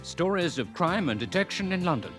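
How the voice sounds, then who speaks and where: A man's voice: high-pitched, sing-song, a little fast, music in the background. A man reading scripted titles as the announcer of a radio drama series.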